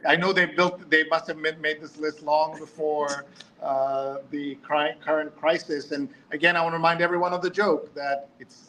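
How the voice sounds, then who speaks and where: A man's voice: a little high, a bit bright, a little hiss or hum, rough recording. A man hosting a live talk show in a casual, chatty way, drifting into asides and reading out viewer comments.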